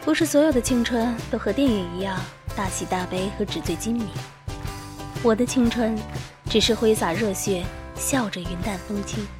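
A woman's voice: mid-range voice, normal speed. A woman hosting a scripted radio show, mixing monologue with playful, teasing big-sister banter. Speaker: online radio host. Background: music.

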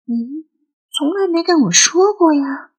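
A woman voicing a curious nineteen-year-old girl in an audio drama, excitable and full of questions. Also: high-pitched, unhurried, pitched above the performer's own voice. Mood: stunned. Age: young adult. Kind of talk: Chinese audio drama dialogue.

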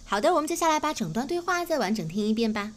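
A woman's voice: fairly high pitch, big pitch swings, rapid-fire. A woman whose native language is Mandarin, explaining phrases as the host of a language lesson. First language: Mandarin Chinese. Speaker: English teacher, host. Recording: faint background noise.

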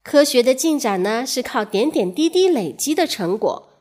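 A woman's voice: high-pitched, very animated, moderate pace. A woman storyteller reading scripted educational narration for children, speaking directly to young listeners in a teacherly, questioning manner. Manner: addresses young listeners directly with rhetorical questions and soft sentence particles.

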